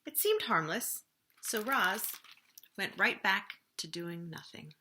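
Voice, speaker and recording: Bright-voiced woman reading a children's story. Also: mid-range voice, animated, a little hiss or hum. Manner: dramatic pauses, slowing and hushing for suspense. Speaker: children's librarian reading aloud. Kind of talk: expressive children's storybook narration.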